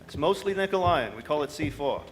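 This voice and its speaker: A man playing a brisk, worldly senior asteroid supervisor in a radio drama, bossy, wry and gruffly friendly. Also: a little high, animated, a little hiss or hum, lightly acted. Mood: explaining, wry.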